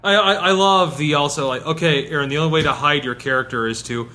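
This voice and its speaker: A man's voice: on the high side, fast talker, sharp tone. A man chatting casually as a podcast co-host, tossing in joking asides. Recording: clear background noise.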